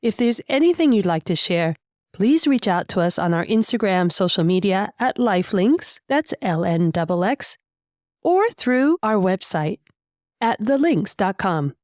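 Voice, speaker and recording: Lively woman podcast host, dry close sound. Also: medium pitch, unhurried, no echo. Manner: upbeat, sprinkles Spanish words. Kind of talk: scripted intro then interview.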